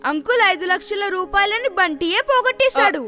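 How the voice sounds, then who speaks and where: An eager cartoon child. The voice is very high and bright, speaking up insistently over soft music. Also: female voice, lively intonation, fairly quick, full character voice. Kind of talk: Telugu cartoon dub acting.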